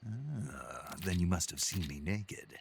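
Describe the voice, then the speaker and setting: An actor playing a gruff, wry special forces soldier in a video game, terse and teasing, with a gravelly voice. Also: male voice, low voice, very animated, slow pace, a little hiss or hum, heavily acted. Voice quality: gravelly, husky.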